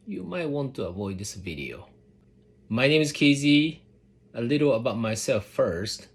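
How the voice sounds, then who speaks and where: A middle-aged man with a Japanese accent, a native Japanese speaker, talking earnestly and directly to his viewers as a channel host, measured and emphatic, repeating his key points. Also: mid-range voice. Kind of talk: earnest direct-to-camera address.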